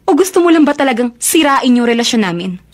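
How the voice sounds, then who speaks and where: Radio drama daughter: young, high-pitched, hurt and emotional. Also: female voice, sing-song, fast talker. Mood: stern, demanding. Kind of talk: Filipino radio drama acting.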